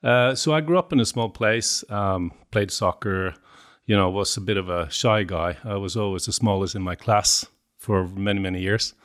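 A man's voice: on the low side, normal speed, fairly bright tone. A man in his fifties from Norway with a Norwegian accent, talking as a podcast guest, hesitant and full of fillers and restarts. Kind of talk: casual interview.

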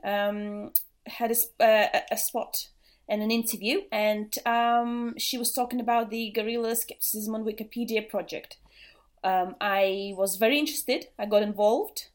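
Latvian-born woman in her thirties. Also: medium pitch. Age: 30s. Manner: chatty, lots of ums. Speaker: podcast co-host. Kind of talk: casual podcast chat.

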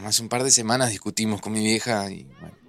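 An actor with an Argentine accent playing an emotional, teasing friend in a naturalistic audio drama, speaking colloquially. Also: male voice, fairly low pitch, quick pace, creaky, fairly bright tone. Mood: troubled, confiding. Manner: colloquial, conversational. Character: Santi, male friend reunited, emotional, teasing, guarded about family. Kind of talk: naturalistic audio fiction acting. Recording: music under the voice.

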